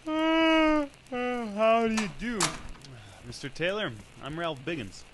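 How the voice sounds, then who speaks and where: Brash, nosy male reporter, acted by a man, slightly high voice, very animated questioning, old-time radio drama. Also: slow pace, background noise. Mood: eager, polite. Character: inquisitive, brash male 1920s newspaper reporter. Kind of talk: 1940s radio acting.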